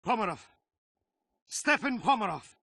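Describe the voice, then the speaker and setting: A man's voice: high voice, big pitch swings, a little slow, vocal fry, sharp tone, no echo, clean studio sound, heavily acted. An actor playing a frightened male captive in a video game cutscene, panicky and pleading. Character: frightened male captive begging an agent for release.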